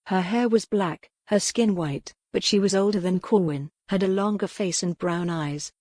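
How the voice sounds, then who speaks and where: A woman's voice: medium pitch, moderate pace, mellow, no echo, studio quality. A woman narrating scripted dramatic fiction as an audiobook.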